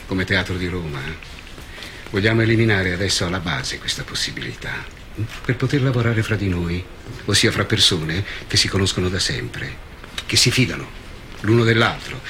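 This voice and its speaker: An actor playing a blunt, condescending theatre official, emphatic and dismissive, in a comedy dialogue. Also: male voice, on the low side, expressive, normal speed, fairly bright tone. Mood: smugly frank. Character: blunt, condescending male theatre official guarding his clique. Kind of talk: acted comedy sketch dialogue. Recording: played-back clip, faint background noise.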